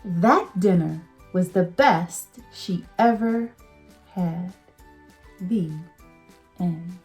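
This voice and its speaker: A woman teacher reading a picture book aloud to children, slow and expressive. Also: lowish voice, faint music behind.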